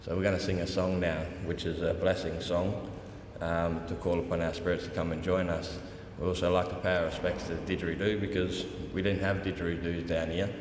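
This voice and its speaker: A young man from South Australia with an Australian accent, giving an informal, unscripted welcome speech in a relaxed, colloquial way. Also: sits low, brisk. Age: young adult. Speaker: dance group leader and language teacher giving a welcome. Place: large reverberant hall at a conference. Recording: echoey hall with long reverb, background noise.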